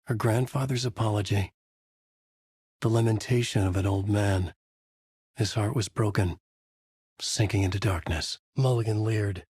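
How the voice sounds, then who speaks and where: A brooding, haunted horror novelist for a game, speaking in a low, husky register. He delivers grim noir-style inner monologue, close and dry with no room sound around him. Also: fairly even intonation, unhurried, pauses often, a little mellow, no room sound. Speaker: narrator. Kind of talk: video game voice lines.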